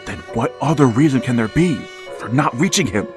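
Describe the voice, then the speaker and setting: A man's voice: mid-range voice, animated, brisk, close to an everyday voice. An actor playing a grieving, middle-aged father who narrates and pleads desperately to reach his dead son, in a vintage-style radio drama. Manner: anguished, pleading, rising to frantic outbursts. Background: music.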